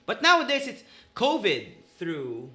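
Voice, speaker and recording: A man from the Philippines, hosting a casual podcast chat, animated and quick to laugh. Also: sits high. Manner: animated, laughing, restarts a lot. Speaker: podcast host.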